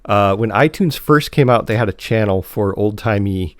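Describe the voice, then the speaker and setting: A man's voice: on the low side, normal speed, no room sound. Casual male podcast host, close dry sound.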